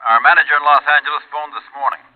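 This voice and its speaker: An actor playing a brisk, businesslike insurance company man in a 1950s radio drama. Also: a little high, fairly even intonation, natural voice. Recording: dull vintage radio recording.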